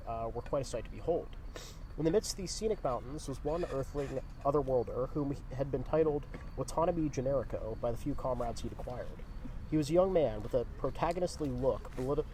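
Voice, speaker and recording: A man's voice: mid-range voice, mellow, rough recording. A man reading a humorous story aloud with casual asides, recorded outdoors on location. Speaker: reader in a group read-along. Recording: outdoor location with faint background noise. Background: faint outdoor ambience and traffic noise.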